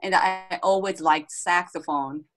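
A woman from rural Japan with a Japanese accent, answering interview questions on a video call in a relaxed, chatty way. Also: on the low side, unhurried. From Japan, Gifu. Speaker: guest musician. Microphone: webcam video call.

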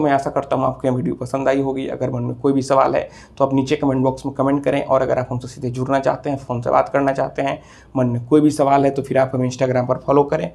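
A man's voice: mid-range voice, gravelly, darkish tone, slightly rough. A man, giving explanatory advice to camera as a video host in an instructive, repetitive way. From India. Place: small office room, indoors.

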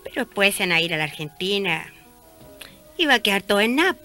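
A woman's voice: mid-range voice, sing-song, a little fast, pushed and bright, faint music behind. A woman playing a pious, friendly small-town neighbour in a radio drama, chatting and confiding news expressively. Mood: chatty.

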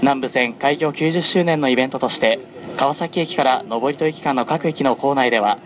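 A man with a medium-pitched voice gives formal train announcements as the conductor. His delivery is measured and polite, read from a script. The clear noise of the moving train runs along with his voice. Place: onboard a running train, over the announcement system. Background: train running noise.

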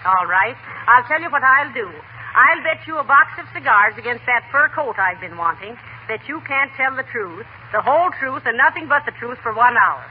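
Through clear noise on a dull 1940 radio recording, a woman plays a sharp-tongued wife in a comedy. She teases with dry wisecracks and a lively, varied pitch. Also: moderate pace, close to an everyday voice. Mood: skeptical. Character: sharp-tongued, teasing small-town wife, unimpressed by husband. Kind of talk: 1940s radio comedy acting.

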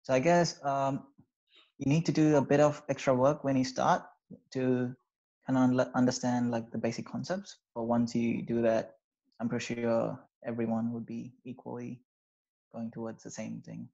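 Young male student from Sri Lanka, casual and halting, heard over a muffled video call. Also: medium pitch, gravelly. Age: young adult. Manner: relaxed, hesitant, many fillers. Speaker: undergraduate student. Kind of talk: casual interview answers. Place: small bedroom, indoors. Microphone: video call with wired earphones. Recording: slightly dull video-call audio.

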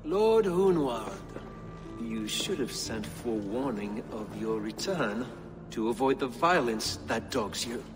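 An actor playing a haughty, disapproving middle-aged bishop, measured and condescending, in a video game. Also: male voice, medium pitch, expressive. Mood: disdainful. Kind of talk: video game cutscene acting. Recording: reverberant hall, music behind.

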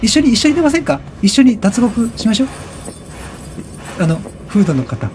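A man giving casual, excitable let's play commentary, chatty and reactive, often repeating short phrases. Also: very high-pitched, normal speed. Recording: game music behind the voice. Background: video game music.

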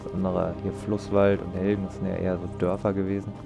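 A man's voice: low voice, monotone, quick pace, creaky, over music. A man giving casual let's play commentary, rambling and joking irreverently.

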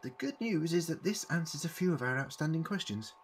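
An actor playing an eccentric, clever time-travelling man in an audio drama, deliberate and formally worded as he explains. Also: a little high, expressive, a little hiss or hum, close to an everyday voice. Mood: measured, explaining.

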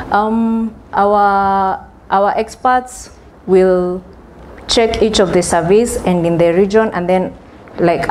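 A woman's voice: medium pitch, darkish tone, a little hiss or hum. A young woman giving a conference presentation from a podium, explaining steadily with some ums and restarts. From Kenya. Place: large conference hall with stage. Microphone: podium microphone.

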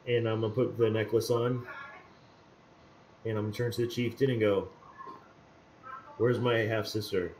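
A man's voice: lowish voice, steady tone, moderate pace, long pauses, a little mellow, a little hiss or hum. A man playing a tabletop game over voice chat, casually describing his actions and then speaking in character. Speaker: player.

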